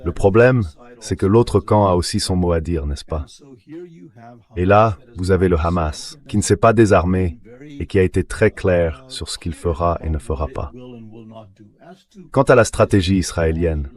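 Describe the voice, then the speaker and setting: A man's voice: low-pitched, moderate pace, darkish tone. A man's synthetic dubbing voice, giving political analysis as the guest in an interview. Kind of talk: political analysis, dubbed translation read by synthetic voice.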